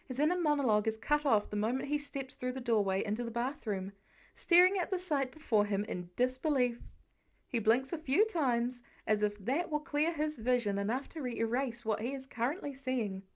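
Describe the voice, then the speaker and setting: Higher-pitched woman reading fiction. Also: animated, moderate pace. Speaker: amateur audiobook narrator. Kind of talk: scripted fiction narration.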